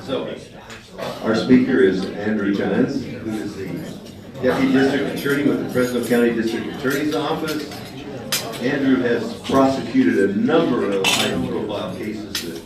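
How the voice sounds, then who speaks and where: A man's voice: mid-range voice, normal speed, crowd noise. A man hosting a club meeting, reading out a speaker's introduction with small stumbles. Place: dining hall during a meal. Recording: echoey hall, mic far off, dishes clinking.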